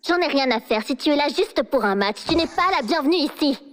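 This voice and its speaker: A woman's voice: high-pitched, expressive, brisk, fairly bright tone. A woman playing a haughty, jealous teenage girl in an anime fan dub, blunt and scornful. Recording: long reverb, music underneath.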